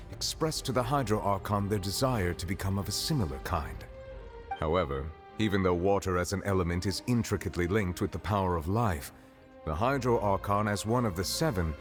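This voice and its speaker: Man playing a stern, deep-voiced chief justice. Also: lightly acted. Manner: formal, measured pronouncements. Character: stern, composed male chief justice of great age. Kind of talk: video game voice acting. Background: music.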